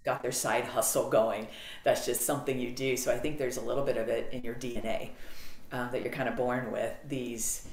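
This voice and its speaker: A middle-aged American woman talking as a podcast guest on a video call, animated and clear with frequent ums. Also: extremely low pitch, brisk, a little hiss or hum. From United States. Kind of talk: casual interview storytelling. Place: home office, video call. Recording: roomy video-call audio.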